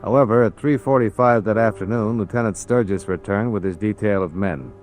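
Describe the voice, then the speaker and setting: A man playing a hardboiled investigator in a vintage radio crime drama. His voice is low, with terse, procedural phrasing and a matter-of-fact noir delivery. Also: brisk, darkish tone, faint music behind, lightly acted. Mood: factual narration. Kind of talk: mid-century radio noir narration and acting.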